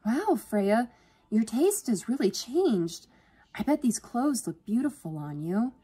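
Woman performing a sentimental older sister, high-pitched, gushing, cheery, effusive, audiobook character. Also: slow pace, mellow. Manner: swooning, effusive.

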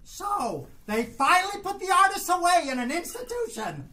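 A woman's voice: very high-pitched, big pitch swings, normal speed, pushed and bright, exaggerated voice. A woman playing a brash folksy heckler. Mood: mocking. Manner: heckling, folksy ungrammatical retorts. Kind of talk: live staged musical reading.

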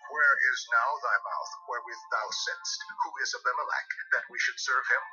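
An actor playing a scheming, scornful city ruler, declaiming emphatically in a scripture drama. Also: male voice, mid-range voice, fairly even intonation, moderate pace, lightly acted. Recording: dull lo-fi audio. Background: music.

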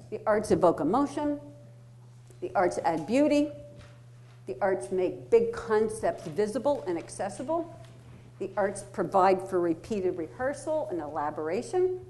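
A woman's voice: medium pitch, a little mellow. A middle-aged woman giving a public lecture, explaining earnestly to an audience in a wood-panelled hall. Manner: earnest, explanatory, reads poetry slowly.

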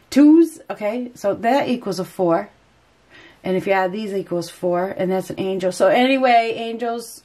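A woman's voice: mid-range voice, a little mellow. A woman with a head cold and stuffy nose, giving an unscripted tarot reading and thinking aloud as she turns the cards. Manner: rambling, hesitant, thinking aloud. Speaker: tarot reader. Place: indoors at a table.

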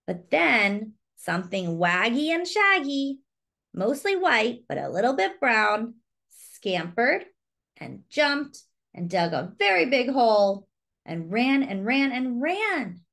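A woman reads a children's storybook in a fairly high, very lively voice. She takes her time over each phrase and speaks simply, as host to young listeners. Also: takes it slowly, vocal fry. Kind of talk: expressive children's read-aloud with asides to young listeners.

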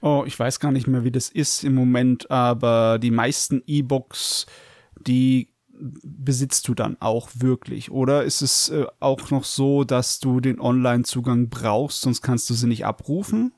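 Male co-host, mid-pitched with noticeable fry, casual thinking-aloud delivery. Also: steady tone, unhurried, a little mellow, no echo, clean studio sound. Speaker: podcast co-host. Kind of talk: casual chat.

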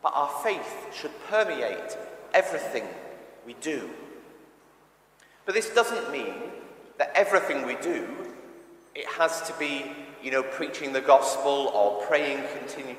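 A man's voice: medium pitch, animated, a little slow, a bit bright. Echoey church sermon from a male preacher. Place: large reverberant church interior. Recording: echoey church with long reverb.

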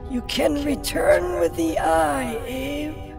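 Game cutscene: cryptic female keeper, solemn and measured, higher-set voice, slow delivery. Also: vocal fry, exaggerated voice. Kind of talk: video game cutscene acting. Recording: loud background music.

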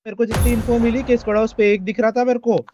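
A man's voice: extremely high pitch, little pitch movement, rapid-fire, warm tone. A young man with an Indian accent chatting casually with his gaming squad over a voice chat with faint noise. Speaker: gaming teammate.

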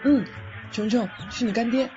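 A woman's voice: on the high side, steady tone, normal speed, close to an everyday voice. A woman playing a timid, devoted little male ghost with an anxious, meek manner in an audio drama. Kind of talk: Chinese audio drama acting. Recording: dull lo-fi audio under music.

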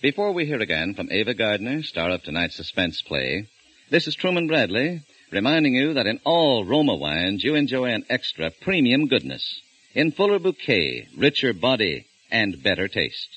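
A man announcing a wine commercial in a smooth, salesman-like way on a 1940s radio show. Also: lowish voice, sing-song, moderate pace, fairly bright tone, low-quality recording. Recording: husky 1940s radio broadcast.

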